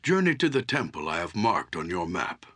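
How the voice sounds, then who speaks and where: An actor playing an elderly, humble and wise archdruid in a fantasy game, speaking gravely in a measured, formal, theatrical way with a gravelly, husky voice. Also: male voice, low-pitched, sing-song, a bit bright, exaggerated voice. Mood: solemn, explanatory.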